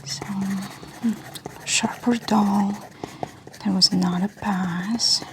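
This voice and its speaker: A woman speaking in a breathy, whispery soft voice, gently and slowly giving instructions in an ASMR medical exam roleplay. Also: mid-range voice, fairly even intonation, slight background noise. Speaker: ASMR creator playing a medical examiner.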